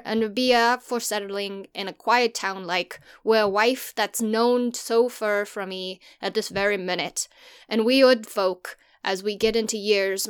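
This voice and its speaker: A woman's voice: medium pitch, a bit bright, clear, resonant tone, pitched above the performer's own voice, natural voice. A woman voicing a worried old farmer. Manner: slow, earnest, broad dialect.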